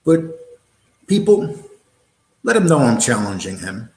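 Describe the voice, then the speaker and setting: A New York-accented man hosts a live show and answers the chat in blunt, combative terms, at a mid-range pitch. His talk is full of fillers and restarts. The echoey room gives the voice a hollow ring. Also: very animated, a little slow, darkish tone. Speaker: host of a live online show. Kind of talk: live-stream chat, answering viewer comments.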